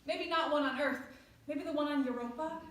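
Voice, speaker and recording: A woman acting a wistful soulmate, high voice, echoey. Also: brisk, lightly acted. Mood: dreamy. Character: weary, loving reincarnated soulmate, woman, wistful and dreamy. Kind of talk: staged play reading. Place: indoor stage. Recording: very echoey room, faint noise.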